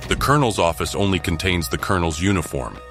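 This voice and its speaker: A man's voice: low voice, animated, fast talker. A man narrating an audio drama in a scripted, dramatic storytelling style. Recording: music behind the narration.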